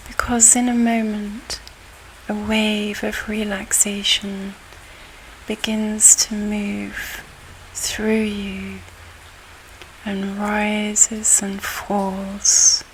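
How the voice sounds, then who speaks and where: A woman guiding a relaxation hypnosis session in a soothing, hushed and breathy voice with long pauses. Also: mid-range voice, a little hiss or hum, low-quality recording. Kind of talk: guided relaxation hypnosis, ASMR-like. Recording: steady rain and thunder behind voice. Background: rain and thunder soundscape.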